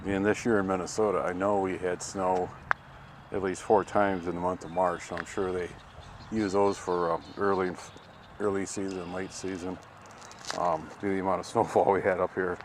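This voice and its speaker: A man's voice: low voice, little pitch movement, moderate pace. An American man giving a rambling, folksy, casual vlog narration, recorded outdoors on a handheld camera. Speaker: vlogger. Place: outdoors at a campground. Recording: outdoor camcorder with ambient vehicle noise.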